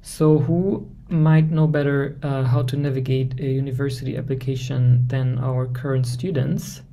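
A young man presenting a university admissions webinar over a video call, explaining steps in a measured way with frequent fillers. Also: medium pitch, flat delivery, mellow, poor audio.

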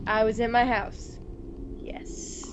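A woman's voice: fairly high pitch, flat delivery, takes it slowly, in a whisper. A playful woman reading visual novel narration aloud between bits of casual chat, sometimes stumbling over the text. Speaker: gaming video host. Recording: muffled, noisy home microphone.

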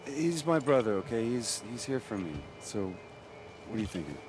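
A man's voice: mid-range voice, sing-song, normal speed, natural voice. A man playing a brash, hot-headed young superpowered hero in a video game, informal and cocky. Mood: casual. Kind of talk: cinematic game dialogue. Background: dramatic music.

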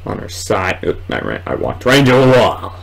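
A young man giving casual, excitable commentary while playing a horror game, muttering and exclaiming to himself. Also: lowish voice, moderate pace, creaky. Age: young adult. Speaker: gamer recording a let's play. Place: small indoor room at home.

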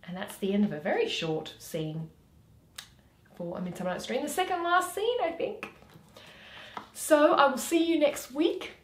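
A woman's voice: high-pitched, animated, normal speed, pauses often, roomy sound. A woman in her thirties presenting a reading in a friendly way, recorded indoors at home. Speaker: host and reader. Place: small room at home, indoors.

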